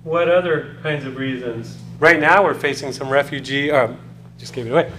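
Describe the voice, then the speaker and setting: A man's voice: medium pitch, moderate pace, sharp tone, slight background noise, poor audio. A man from the United States giving a conversational classroom lecture, often putting questions to his students. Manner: conversational, poses questions to students, loose with fillers. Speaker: college lecturer.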